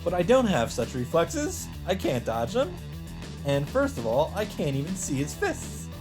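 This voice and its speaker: A man narrating a visual novel as a let's play host, reading the text aloud in a deliberate, emphatic, dramatic style. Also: on the high side, wildly swooping pitch, music in the background.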